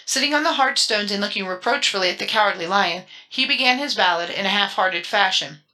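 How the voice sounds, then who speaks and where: A woman's voice: medium pitch, brisk, pushed and bright, low-quality recording. A woman reading a children's storybook as a dramatic audiobook narrator.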